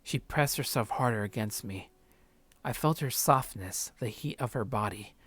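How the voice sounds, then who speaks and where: A let's play host reading a visual novel aloud dramatically, with slangy, expressive casual commentary. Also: mid-range voice, moderate pace, breathy edge.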